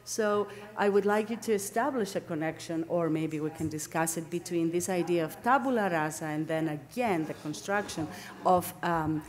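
A middle-aged woman from Greece with a Greek accent gives an academic seminar lecture in a halting way, searching for words and correcting herself. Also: fairly low pitch. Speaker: academic lecturer, landscape architect. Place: indoor lecture room, at a lectern.

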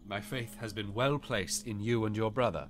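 A man's voice: on the low side, normal speed, soft background music, natural voice. A man playing a weary, solemn ancient king with grave, formal speech in an audiobook. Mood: grave, trusting. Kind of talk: dramatised audiobook dialogue.

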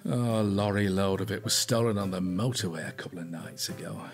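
An older British police inspector in an audio drama. His voice is fairly low, and he talks in a dry, weary, natural way. Faint music can be heard. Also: fairly quick.

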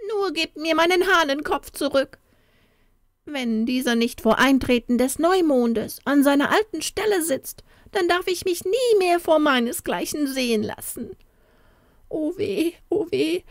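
A squeaky, high little church goblin, as a woman reading aloud puts him on. His pitch jumps all over as he taunts and whines, and the recording is close and dry. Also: dry recording. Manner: squeaky and mocking, later whining. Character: small male church goblin, cheeky then wailing. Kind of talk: storybook character voice.

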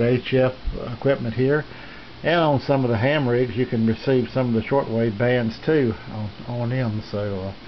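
A man giving a casual, rambling hobby video tour in a halting, folksy way, speaking from behind a handheld camera in a small room. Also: medium pitch. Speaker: amateur radio hobbyist presenting his equipment. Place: small indoor home radio room. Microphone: handheld camera's built-in mic, behind the lens. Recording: dull lo-fi camera audio, noisy room.

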